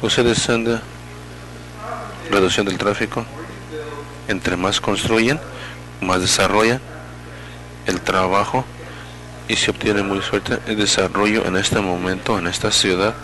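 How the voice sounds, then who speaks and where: A man with a very low voice works as a simultaneous interpreter at a public meeting. His delivery comes in halting fragments, and he often corrects himself mid-phrase. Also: steady tone, pushed and bright, slight background noise, rough recording.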